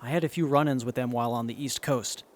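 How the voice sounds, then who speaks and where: An actor playing a cautious, matter-of-fact wasteland companion in an audio drama. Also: male voice, mid-range voice, fast talker, natural voice. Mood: serious. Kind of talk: post-apocalyptic audio drama.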